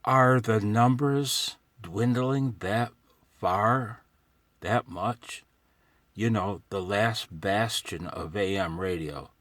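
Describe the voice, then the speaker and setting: A man's voice: fairly low pitch, takes it slowly, fairly bright tone, dry recording. An older American man rambling casually to himself on a vlog from inside a parked truck, with a wry, folksy manner. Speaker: amateur radio hobbyist vlogger.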